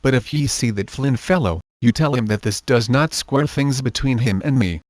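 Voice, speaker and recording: An actor playing a sly, swaggering pirate villain in a cartoon game, with a theatrical, wheedling pirate brogue. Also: male voice, medium pitch, moderate pace, no echo, clean studio sound, close to an everyday voice. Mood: sly, bargaining. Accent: stereotypical pirate brogue. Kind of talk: video game character acting.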